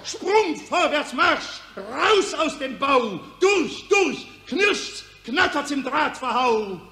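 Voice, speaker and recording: A man's voice: very high-pitched, very animated, takes it slowly, sharp tone, some chatter behind, slight background noise, heavily acted. A man playing a fanatical war poet who declaims bombastic patriotic verse in a 1940s radio drama. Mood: bombastic, rousing. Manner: declamatory, exclamatory. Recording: roomy, echoing 1940s radio recording.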